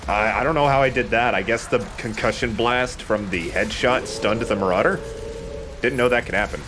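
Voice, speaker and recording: A man hosting a let's play, giving casual, expressive commentary as he plays a shooter. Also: medium pitch, normal speed, over music. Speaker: let's play host, playing a shooter game. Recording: noticeable background noise.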